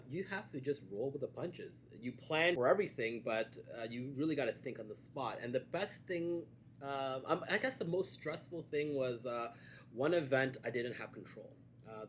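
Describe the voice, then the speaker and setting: A man in a relaxed sit-down conversation, speaking at an ordinary middle pitch. He talks in a measured, halting way with frequent fillers and restarts. Faint background noise sits under the recording. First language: Cantonese. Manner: measured, hesitant with many fillers. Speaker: guest, events and media producer. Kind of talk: casual conversation.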